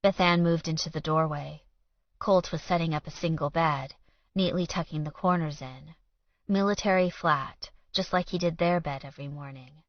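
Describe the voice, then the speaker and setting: A woman's voice: low voice, normal speed, no room sound, lo-fi sound. A woman audiobook narrator reading fiction in a scripted storytelling style.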